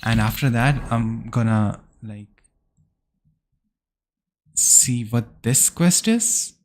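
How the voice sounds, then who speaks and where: A man's voice: medium pitch, lively intonation, extremely slow. Chatty man streaming a game. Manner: chatty, answers chat, thinks aloud with fillers. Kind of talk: casual live-stream commentary.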